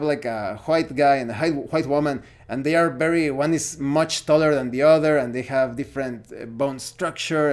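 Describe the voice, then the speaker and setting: A man's voice: on the high side, a little mellow. A man, a Spanish speaker, giving an unscripted opinion monologue as host of a gaming channel, often searching for words. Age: 40s.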